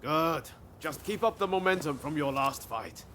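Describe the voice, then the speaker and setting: A man's voice: fairly high pitch, expressive, normal speed, a bit bright. A man playing a breezy, encouraging warrior comrade in a video game cutscene, speaking casually. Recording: clear background ambient noise.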